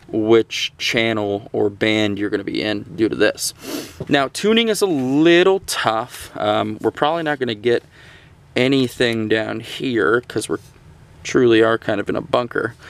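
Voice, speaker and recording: A man reviewing a gadget in a casual, unscripted, conversational and somewhat halting way. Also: mid-range voice, gravelly. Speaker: gadget reviewer, host of a radio channel.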